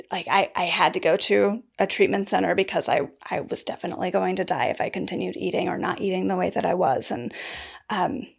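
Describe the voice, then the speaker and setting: Podcast guest, woman, candid and reflective, with a gravelly edge to her voice. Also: on the low side. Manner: thoughtful, candid, soft-spoken with hesitations. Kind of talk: casual conversation.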